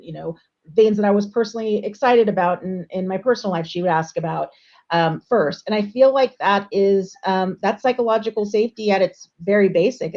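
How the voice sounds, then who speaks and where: A woman HR leader speaking in a warm, chatty way as a panelist in an online discussion. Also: mid-range voice, highs cut off. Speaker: chief people officer, panelist.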